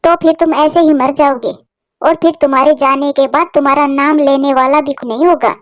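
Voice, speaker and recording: High-pitched, meddling cartoon lady bird voiced by a woman. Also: steady tone, normal speed, no echo, studio quality, close to an everyday voice. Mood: pushy. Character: meddling, blunt neighbour female bird. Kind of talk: Hindi cartoon story dialogue.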